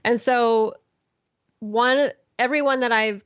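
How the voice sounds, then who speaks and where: A woman's voice: fairly high pitch, steady tone, takes it slowly, clear, resonant tone, no echo, lo-fi sound. A woman who is a classical musician, chatting on a podcast in a rambling way, with restarts and ums. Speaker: classical musician and podcast host, guest here. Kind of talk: casual podcast conversation.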